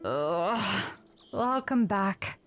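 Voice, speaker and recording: A woman's voice: mid-range voice, lively intonation, very slow, a bit bright, soft background music. A woman playing a proud young princess in an audio drama, easily annoyed, with a whiny, pouting manner. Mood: weary, annoyed. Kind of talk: audio drama character dialogue.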